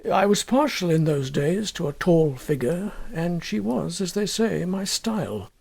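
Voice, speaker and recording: A man reading a first-person story as an audiobook narrator, expressive, measured and deliberate. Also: sits high, vocal fry, husky.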